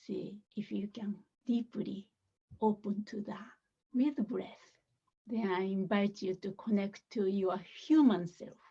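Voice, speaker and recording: A woman meditation teacher leading a guided meditation softly and gently, with many pauses and a breathy voice that often nears a whisper. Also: mid-range voice, fairly even intonation, highs cut off.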